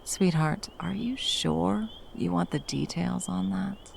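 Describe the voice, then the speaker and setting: A woman playing a protective, tender monster hunter in an intimate ASMR roleplay, speaking haltingly and breathily, often dropping toward a whisper. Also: on the low side, gravelly, a little mellow, a little hiss or hum, exaggerated voice. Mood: reluctant, caring. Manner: halting, coaxing, speaking to a beloved. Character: wandering female monster hunter, fiercely protective, tender lover.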